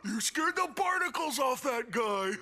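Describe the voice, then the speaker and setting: An actor playing a dim, cheerful, loyal starfish in a cartoon, goofy and over-eager. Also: male voice, very high-pitched, big pitch swings, measured pace, pushed and bright, full character voice. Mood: excited praise.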